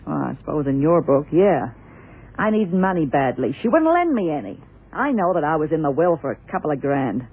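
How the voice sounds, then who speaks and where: An actress playing a blunt, hard-bitten theatre dresser in a 1970s radio crime drama, talking candidly and colloquially. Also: female voice, low-pitched, very animated, brisk, slightly rough, heavily acted. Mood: defensive. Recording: tinny, band-limited old radio recording.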